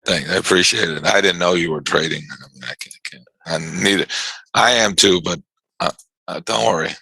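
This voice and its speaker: A man hosting a live trading chat on a video call, his voice worn from yelling, rambling and stammering through repeated words. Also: low voice, brisk, no echo, poor audio. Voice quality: gravelly and husky. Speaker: trading show host.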